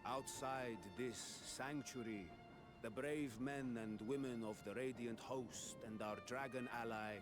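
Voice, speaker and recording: An actor playing a noble, steadfast ruler in a video game, speaking in a formal, measured, oratorical manner. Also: male voice, medium pitch, lightly acted. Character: noble, steadfast male ruler addressing his people.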